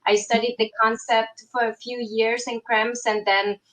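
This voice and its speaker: A woman's voice: a little high, little pitch movement, normal speed, a bit bright, rough recording. A woman panelist speaking earnestly on a video call, with frequent ums. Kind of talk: casual panel talk.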